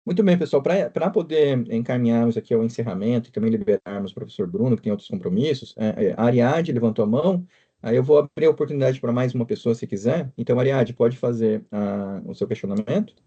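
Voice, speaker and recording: Man, moderator, fast talker, dark husky voice, muffled lo-fi audio. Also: mid-range voice. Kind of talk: moderating an online session.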